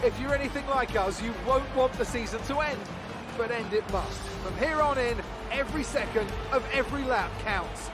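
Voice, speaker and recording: A man's voice: very high voice, moderate pace, over loud music. A man as a polished, upbeat TV race commentator in a video game, presenting in broadcast style. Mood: excited. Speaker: lead motorsport commentator.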